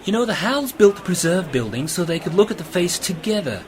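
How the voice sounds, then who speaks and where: A man's voice: high-pitched, big pitch swings, fast, noisy background. A man playing an eager, bookish friend full of lore in an amateur science fiction radio play, explaining and musing as he goes. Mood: eager, knowing.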